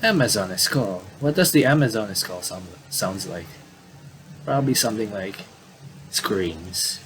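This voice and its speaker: Low-voiced man commentating over noise. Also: lively intonation. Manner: rambling, self-correcting, halting. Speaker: gaming video commentator. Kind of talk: casual playthrough commentary, reading game dialogue aloud.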